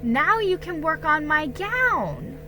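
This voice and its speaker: A woman's voice: high-pitched, very animated, takes it slowly, sharp tone, slight background noise, pitched above the performer's own voice, exaggerated voice. A woman voicing an eager, impatient young girl from colonial times, pleading and pressing, in a dramatic storybook reading.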